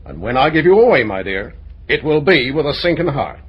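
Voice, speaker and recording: Husky, blustering old-time radio father. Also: medium pitch, very animated, brisk. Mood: grumbling, disapproving. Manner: blustering, pompous, hesitating with ums. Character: gruff, blunt older father and plant owner, disapproving. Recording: old radio drama recording.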